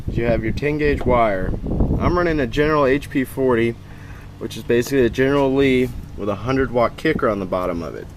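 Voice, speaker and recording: A man talks through a hands-on how-to in an informal, plainspoken way, his voice at an ordinary middle pitch. It sounds like a handheld camera recording at a truck, with some noise behind him. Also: low-quality recording. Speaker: DIY video host. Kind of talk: casual how-to walkthrough. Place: in and around a pickup truck. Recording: clear background noise, vehicle ambience.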